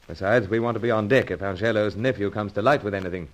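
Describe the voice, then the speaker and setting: An actor playing a suave secret agent hero in an old-time radio adventure serial, earnest and dramatic. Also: male voice, lowish voice, fast talker, heavy background noise, lightly acted. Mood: grave, concerned.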